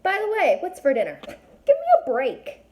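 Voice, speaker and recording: A woman voicing an excitable, anxious young twin boy in a storybook, exclaiming and incredulous. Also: high voice, normal speed, pitched above the performer's own voice. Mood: exasperated, sarcastic.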